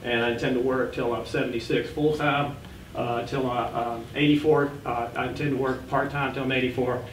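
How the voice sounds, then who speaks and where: A sixty-year-old man presents informally to a board in a meeting room. His delivery is halting, with frequent restarts and corrections. The room echoes around his medium-pitched voice, which sits back from the podium microphone over faint noise. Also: level tone, distant mic. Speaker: education board member presenting. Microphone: standing back from podium gooseneck microphone.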